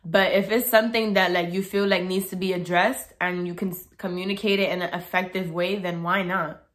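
A woman's voice: fairly low pitch, brisk. A young woman in her twenties talking casually and bluntly to camera as a vlogger, in a bedroom. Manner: blunt, outspoken, animated with hands. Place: bedroom, indoors.

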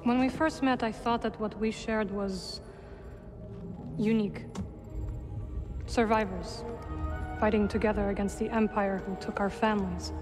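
A woman acting a solemn young warrior-sorceress in a video game cutscene, speaking in measured, deliberate, formal phrases. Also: medium pitch, fairly even intonation, in a large hall, over music, lightly acted. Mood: earnest, reflective.

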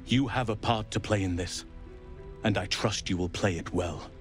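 An actor playing a solemn, earnest old elven druid in a video game, speaking in a grave, measured, formal way. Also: male voice, lowish voice, soft background music, close to an everyday voice. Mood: resolute. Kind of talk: video game character acting.

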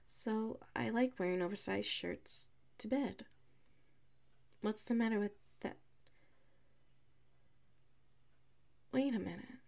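Woman acting a slow-talking, flustered tsundere classmate. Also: medium pitch, creaky, darkish tone. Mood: teasing, defensive. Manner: halting, huffy, defensive, slips into muttered whispers. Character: flustered, defensive tsundere female classmate with a crush. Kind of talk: ASMR audio roleplay.

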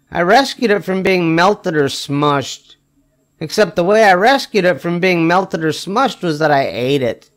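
An older man reading a children's picture book aloud expressively, with emphatic phrasing and drawn-out wry punchlines. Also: high voice. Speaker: storybook reader.

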